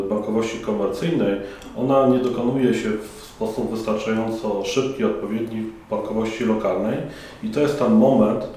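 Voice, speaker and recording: A man's voice: lowish voice, a little mellow, slight background noise. Formal middle-aged man in echoey hall. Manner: measured, formal and explanatory. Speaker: conference panel moderator. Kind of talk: formal interview answers. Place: conference hall by a banner. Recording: echoey room from far off.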